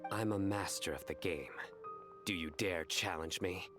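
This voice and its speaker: An actor playing a stern, deadpan young man in a video game, formal and literal. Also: deep voice, measured pace, soft background music. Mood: challenging.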